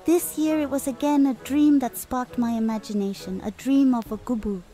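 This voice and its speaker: A woman voicing a gentle, dreamy festival mistress in a fantasy game, with flowery, gracious phrasing. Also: sits high, steady tone, normal speed, soft background music, natural voice. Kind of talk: scripted fantasy game dialogue.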